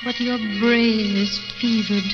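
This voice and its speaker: Slow, gentle, sorrowful wife. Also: female voice, fairly high pitch, level tone. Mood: tender, eerie calm. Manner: soft, measured, tender. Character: gentle, sorrowful wife, imagined voice comforting her husband. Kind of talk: 1940s radio drama acting. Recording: dull vintage radio recording with music.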